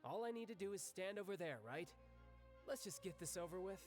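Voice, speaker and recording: Cocky young anime game villain, highish voice, super animated, sarcastic and showy. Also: male voice, fairly quick, music in the background. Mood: exasperated. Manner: sardonic, theatrical, breezy.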